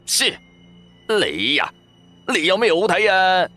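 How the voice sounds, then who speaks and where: A man's voice: high voice, very animated, slow, sharp tone, faint music behind, pitched above the performer's own voice, heavily acted. A man voicing a vain, boastful young sidekick in a Cantonese audio drama, showy and teasing. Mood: scornful. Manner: showy, self-satisfied, teasing.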